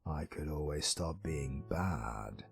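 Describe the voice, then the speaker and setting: A brooding British supervillain played by a male actor with a very low, gravelly voice and a slow, dramatic delivery. He is sarcastic and flirtatious, weary of the world yet secretly caring, in an intimate romantic comedy roleplay. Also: expressive, music in the background, exaggerated voice. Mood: resigned, tender. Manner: dramatic, teasing, sweary, swinging from murmurs to outbursts.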